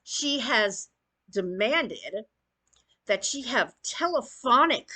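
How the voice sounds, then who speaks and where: A woman delivers an opinionated commentary rant in a fairly high voice. She takes her time, speaking slowly while her pitch swings widely for emphasis. Her manner is blunt and scornful. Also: animated, bright, forceful tone, rough recording. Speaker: YouTube commentator.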